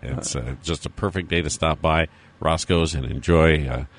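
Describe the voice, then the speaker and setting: A hoarse American man hosting a casual news talk radio show. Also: rumbling low, normal speed. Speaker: radio host.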